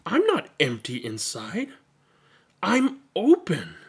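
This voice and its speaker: A reader voicing an earnest, self-doubting female number zero from a picture book, in an expressive, drawn-out delivery. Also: medium pitch, husky, heavily acted. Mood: hopeful, excited.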